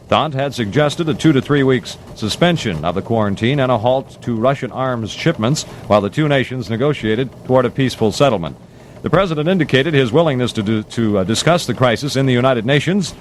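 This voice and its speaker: A man's voice: medium pitch, slight background noise. An American man reading a 1960s radio news bulletin with formal, punchy headline delivery, on an old AM aircheck recording.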